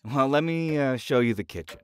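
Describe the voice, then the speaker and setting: A man's voice: mid-range voice, expressive, fairly quick, slight background noise, lightly acted. A man acting as a friendly, chatty, slightly evasive small-town realtor in an audio drama. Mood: hesitant, gossipy. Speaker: real estate agent showing a house. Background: faint music.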